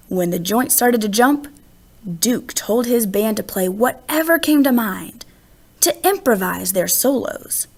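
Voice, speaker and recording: A woman reading a children's picture book aloud as an expressive narrator, with deliberate phrasing and stressed words. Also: a little high, creaky.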